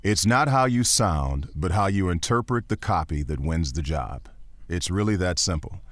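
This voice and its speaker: A man with a baritone voice, a voiceover actor and teacher, talking as a podcast guest in an earnest, encouraging, emphatic way. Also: lively intonation, moderate pace, dry recording.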